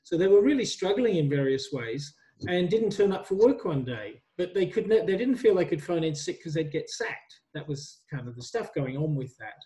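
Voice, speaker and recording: Middle-aged man, a bit higher voice, tutoring online on a headset, loose and hesitant. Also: moderate pace, rough recording. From migrant to Australia. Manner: relaxed, hesitant with many ums. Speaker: university tutor. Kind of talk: online workshop teaching.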